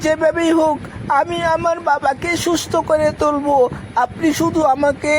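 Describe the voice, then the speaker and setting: A woman's voice: sits high, flat delivery, moderate pace, a little hiss or hum, natural voice. A woman voicing a brave, earnest little boy in a children's cartoon, pleading, with music behind. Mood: pleading, determined.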